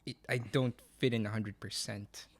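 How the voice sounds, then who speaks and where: A man's voice: lowish voice, steady tone, normal speed. A young man from the Philippines with a Filipino accent, co-hosting a casual podcast chat with a rambling, joking manner. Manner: rambling, chatty, jokey tangents. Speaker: podcast co-host.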